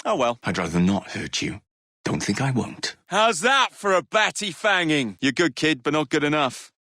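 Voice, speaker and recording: A young Victorian London gang rogue for a video game, with an English accent. His pitch jumps about in a lively way as he teases and gives brisk orders. The delivery is brash and playful, recorded completely dry. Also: no room sound, heavily acted. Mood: brisk, commanding. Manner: cheeky, teasing, theatrical.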